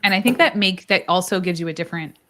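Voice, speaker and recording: Nurse lady hosting a chat, kinda low voice, laughs a lot, lots of "like". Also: fast talker. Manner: animated, laughs often, fillers. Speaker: host, charge nurse. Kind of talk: casual panel chat.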